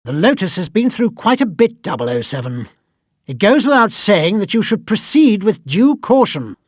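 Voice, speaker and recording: A precise, formal British spy quartermaster in a video game. His voice is high and lively in pitch. He briefs an agent with pride and dry wit. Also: normal speed, no room sound. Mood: proud, formal.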